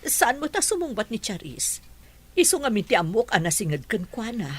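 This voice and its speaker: A woman's voice: a little high, sing-song, moderate pace, husky. A woman acting an anxious mother in an Ilocano radio drama, emphatic and worried.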